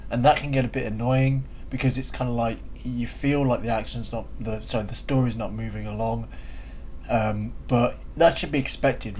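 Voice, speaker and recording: A man's voice: medium pitch, a little mellow, low-quality recording. A man giving a semi-scripted review as spoken commentary. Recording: faint background noise.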